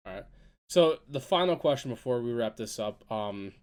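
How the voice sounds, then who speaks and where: A man's voice: medium pitch, lively intonation, normal speed, clean tone. A man hosting a casual podcast chat, still congested and stuffy-nosed from being sick, upbeat and steering the questions. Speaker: podcast host.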